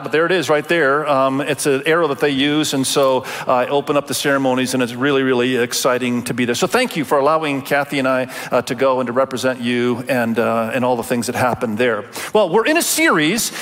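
Pastor preaching in a reverberant sanctuary. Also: male voice, mid-range voice, fairly even intonation, fast talker, few pauses. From Indonesia. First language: Indonesian. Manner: warm conversational delivery with frequent fillers and restarts. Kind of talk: Sunday sermon. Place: large church auditorium. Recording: long church reverb.

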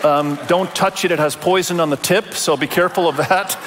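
A middle-aged male pastor raised in Indonesia, preaching a Sunday sermon in a relaxed, conversational way with many fillers and restarts. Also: fairly high pitch, a little fast, a little hiss or hum. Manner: warm conversational delivery with frequent fillers and restarts. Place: large church auditorium. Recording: long church reverb.

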